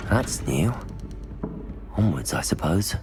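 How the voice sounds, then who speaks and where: A man's voice: low-pitched, expressive, slow pace, exaggerated voice. A man voicing a curious, unflappable young wizard student in a video game, polite and dryly musing to himself. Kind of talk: video game protagonist acting. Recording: loud music behind the voice. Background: loud game music.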